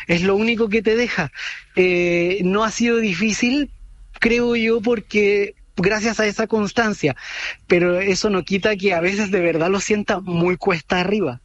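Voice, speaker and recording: A Chilean man near forty, speaking with a high-pitched voice and a Chilean accent. He answers calmly, pausing on filler sounds and restarting sentences. The sound is dull and lo-fi, with no room echo. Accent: Chilean Spanish. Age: 30s. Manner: thoughtful, many fillers and restarts. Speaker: interviewee, student abroad. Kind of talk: casual interview answer.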